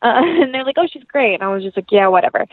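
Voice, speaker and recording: A woman's voice: on the high side, expressive, fast, no room sound, narrow, tinny audio. A young American woman, an actress chatting as an interview guest in an earnest, effusive, rambling way. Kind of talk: casual interview chat, anecdotal.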